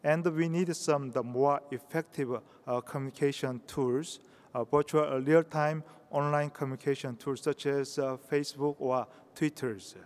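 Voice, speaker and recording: A man from South Korea with a Korean accent giving a conference talk in English, halting often with hesitations. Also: medium pitch, steady tone. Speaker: conference speaker. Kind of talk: conference presentation. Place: conference hall. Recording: reverberant hall.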